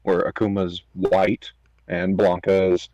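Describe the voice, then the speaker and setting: A man's voice: low-pitched, level tone, measured pace, darkish tone, no echo, highs cut off. A man chatting casually as a podcast guest, slangy and full of opinions. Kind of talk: casual chat.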